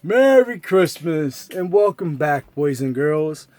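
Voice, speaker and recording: High-pitched man reading a children's story. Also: sing-song, takes it slowly, a little mellow, dry recording. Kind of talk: animated read-aloud storytelling for children.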